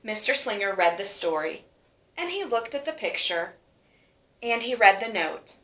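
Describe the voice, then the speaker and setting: A woman reading a children's story aloud in an animated way, stretching words for emphasis. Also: medium pitch, measured pace, a little hiss or hum. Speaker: storybook reader. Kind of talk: expressive children's read-aloud. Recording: roomy, echoing sound.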